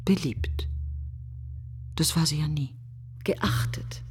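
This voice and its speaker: An actress playing a grieving woman in a literary radio monologue, speaking in hushed, halting fragments. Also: deep voice, in a whisper. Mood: hushed, bitter. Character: grieving woman brooding on her dead best friend.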